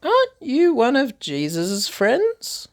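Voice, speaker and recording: Man putting on a high, nosy accusing bystander. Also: huge pitch swings, exaggerated voice. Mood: accusing, suspicious. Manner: pointed, drawn-out questioning. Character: nosy, accusing bystander in a children's Bible story.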